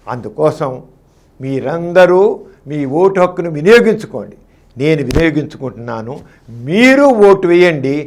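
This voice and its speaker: A man with a fairly high voice addresses listeners directly. His delivery is that of a public-service message, spoken as an appeal. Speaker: speaker giving a public appeal to voters.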